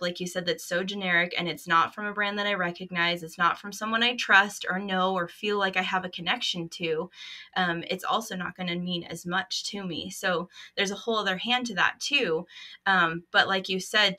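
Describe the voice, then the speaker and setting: A woman's voice: mid-range voice, bright, forceful tone. A young woman co-hosting a podcast over a video call, chatting casually with many fillers and restarts. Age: young adult. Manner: lots of fillers, restarts mid-thought. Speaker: podcast co-host. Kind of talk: casual chat. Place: home room.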